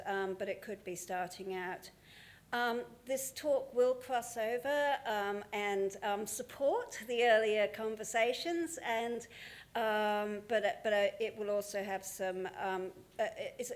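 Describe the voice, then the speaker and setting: A woman presenting at a conference, speaking with many pauses, ums and restarts. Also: medium pitch. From Australia. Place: conference hall.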